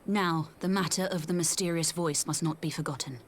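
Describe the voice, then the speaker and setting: A woman voicing a gentle, solemn young seer in a video game, with measured, archaic phrasing. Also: on the low side, fairly even intonation, slight background noise, close to an everyday voice. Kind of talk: scripted video game cutscene acting.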